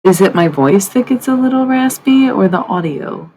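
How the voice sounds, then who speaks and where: Woman streamer, raspy and gravelly, rambling loose chat, mid pitch. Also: animated, moderate pace, mellow, a little hiss or hum. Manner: rambling and loose, swears freely, chatting to viewers. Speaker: livestream host. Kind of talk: casual live-stream chat. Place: at home at night, others asleep nearby.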